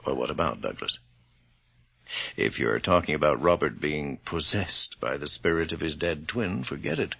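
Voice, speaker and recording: An actor playing a grave, confident doctor with a gravelly voice and a measured, admonishing manner in a radio drama. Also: male voice, extremely low pitch, expressive, fairly bright tone, no echo, heavily acted. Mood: firm, reassuring. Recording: dry, dull old radio recording.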